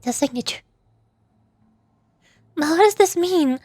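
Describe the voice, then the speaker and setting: A woman's voice: very high-pitched, expressive, slow pace, a little mellow, no echo. A young woman doing casual livestream game commentary as a VTuber, playful, teasing and rambling.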